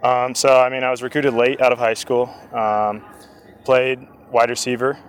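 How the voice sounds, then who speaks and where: A young man answering interview questions calmly and politely. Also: mid-range voice, normal speed. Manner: calm, polite. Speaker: interviewed football player.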